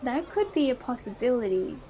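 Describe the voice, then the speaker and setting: A woman's voice: sits high, sing-song, a little fast, warm tone, a faint crowd. A woman playing a story game, thinking aloud in a tentative, musing way as she comments. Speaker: video game player reading dialogue aloud. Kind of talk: let's play commentary and dialogue read-aloud.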